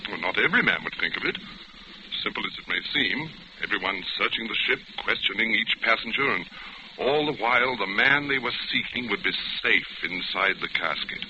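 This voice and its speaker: Actor as scheming man, lowish voice, self-assured, insinuating, 1940s radio drama, clearly noisy recording. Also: expressive, fairly quick, dull audio, lightly acted. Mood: sly, pleased. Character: smooth, scheming male fugitive, coolly persuasive.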